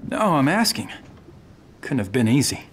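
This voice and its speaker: A man's voice: medium pitch, big pitch swings, moderate pace, a little hiss or hum, close to an everyday voice. An actor playing a proud, sardonic young male warrior from a famous family in a video game. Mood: probing. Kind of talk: naturalistic video game acting.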